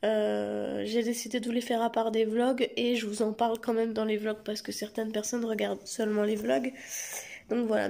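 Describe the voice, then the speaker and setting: A young woman talks straight to her camera at home late at night, her voice hoarse and gravelly from a cold. She sits a little high in pitch and rambles, pausing often and restarting her sentences. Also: dark tone. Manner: rambling, many hesitations and restarts. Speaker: family vlogger. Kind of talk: casual direct-to-camera vlog. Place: home at night under artificial light.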